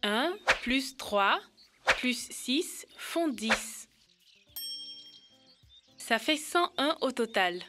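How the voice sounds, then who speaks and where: High-voiced eager cartoon girl. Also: lively intonation, very slow, pauses often, music in the background. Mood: concentrating. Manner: explains step by step. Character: Kibena, eager young girl learning to count. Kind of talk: French-dubbed educational children's cartoon.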